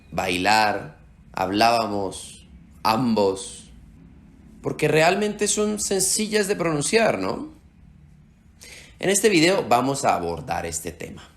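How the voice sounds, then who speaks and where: A man whose first language is Slovak leads an explanatory Spanish lesson, his pitch rising and falling in an animated, expressive way. He sits at a middling pitch for a man and slows right down whenever he spells out a sound. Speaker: Spanish teacher.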